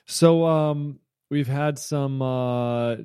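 A man's voice: medium pitch, very slow, dark tone, clear, resonant tone, no echo, studio quality. An American man in his thirties co-hosting a podcast in loose, rambling chat full of fillers, talking into wireless earbuds. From United States. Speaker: podcast co-host.

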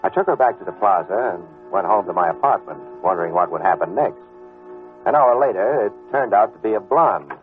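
An actor playing a wisecracking, gruff but decent private detective in a 1940s radio drama, talking in sardonic slang. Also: male voice, lowish voice, lively intonation, fairly quick, soft background music, close to an everyday voice. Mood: wry narrating. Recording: muffled old radio drama recording.

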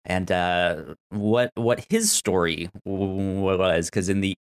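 A podcast co-host chatting casually about a TV show, haltingly, often restarting words and phrases. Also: sits low.

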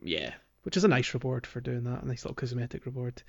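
A man co-hosting a casual gaming podcast, chatting in a relaxed way with frequent ums and small laughs. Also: medium pitch, fast talker, gravelly. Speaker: podcast co-host. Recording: muffled lo-fi podcast audio.

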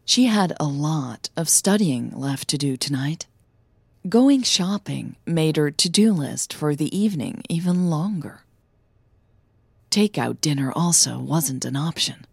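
A woman narrating a scripted audio story as a podcast host, with measured, deliberate phrasing. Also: low-pitched, animated, vocal fry, darkish tone, breathy edge, no room sound, clean studio sound.

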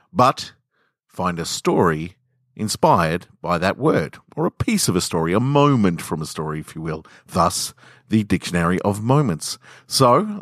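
Low-voiced man reading on a podcast. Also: a bit bright, dry recording, studio quality. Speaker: podcast host. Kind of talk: podcast intro and literary story reading.

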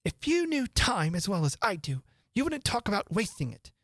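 A woman voicing an excitable, mournful male hatter who rambles theatrically. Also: mid-range voice, no echo, studio quality, voice pushed up. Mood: whimsical. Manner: theatrical, rapid swings from chatter to gloom.

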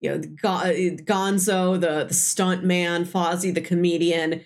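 A woman's voice: fairly low pitch, flat delivery, measured pace. A woman co-hosting a casual film discussion, talking like an enthusiastic fan who laughs easily as she goes. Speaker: podcast co-host. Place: recorded in person with co-host.

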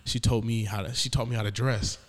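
A young man with a medium-pitched voice co-hosts a casual podcast. He speaks candidly in relaxed slang, addressing his friend directly and informally. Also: brisk. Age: young adult. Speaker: podcast co-host. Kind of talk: casual chat.

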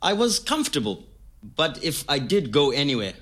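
Easygoing guy telling his life story, bright clear-cut voice, kinda wry, audio drama. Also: a little high, sing-song, moderate pace. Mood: animated, wistful. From Zimbabwe. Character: easygoing, wry Zimbabwean man recalling his younger days. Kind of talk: scripted oral-history storytelling in conversational manner.

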